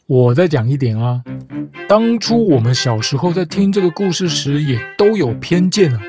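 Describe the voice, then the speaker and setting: A woman's voice: low voice, expressive, normal speed. A woman hosting a comic storytelling show, lecturing in a scripted, argumentative way and ending her points with rhetorical questions. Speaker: storyteller host. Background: background music.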